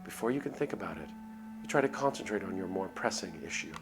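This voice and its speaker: A man's voice: sits low, expressive, fast talker. A man reading a visual novel aloud as a streamer, in dramatic narration with casual asides. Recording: music behind the voice. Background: music.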